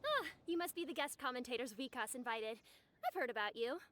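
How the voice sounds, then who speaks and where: A woman's voice: sits high, animated, fairly quick, a bit bright, slight background noise. A woman playing a polite, cheerful young festival organizer in an anime game, with courteous and formal phrasing. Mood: cheerful, businesslike.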